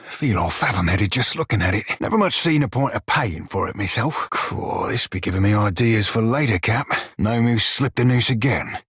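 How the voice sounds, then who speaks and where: An actor voicing a short, crude, wry pirate shiphunter for a video game, with a husky, rough-edged voice and a pirate dialect full of salty sailor slang. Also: male voice, lowish voice, expressive, normal speed, fairly bright tone, no room sound, studio quality, full character voice. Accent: pirate-style dialect. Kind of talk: video game character dialogue and barks.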